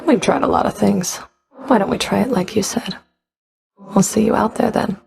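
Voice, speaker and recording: An actress playing a stern, sardonic underworld Fury overseer in a video game, curt and commanding, with a gravelly, creaky voice. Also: female voice, mid-range voice, fairly even intonation, normal speed, a little mellow. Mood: dry, guarded. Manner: curt, dry, commanding, grudging.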